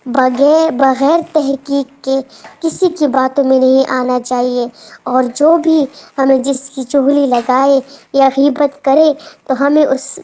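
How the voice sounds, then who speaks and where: Slow, high-pitched woman storyteller. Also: a little mellow. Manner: instructive, addresses children directly, repeats phrases for emphasis. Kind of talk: children's moral storytelling.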